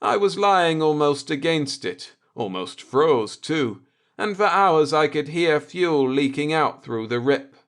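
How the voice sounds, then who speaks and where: A man voicing a coolly vindictive spaceship navigator in an audiobook, deliberate and sardonic as he explains. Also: a little high, lively intonation. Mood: matter-of-fact.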